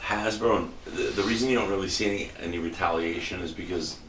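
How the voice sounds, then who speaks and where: A man's voice: sits low, fast, fairly bright tone, a little hiss or hum. A man talking casually at a convention, exasperated and profane. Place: convention hall. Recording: echoey hall, mic far off.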